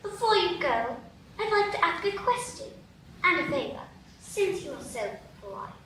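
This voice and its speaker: A woman's voice: extremely high pitch, big pitch swings, normal speed, a bit bright, very echoey, recorded from a distance, a little hiss or hum, heavily acted. A woman playing a curious, polite young girl in a live radio play. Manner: polite, questioning.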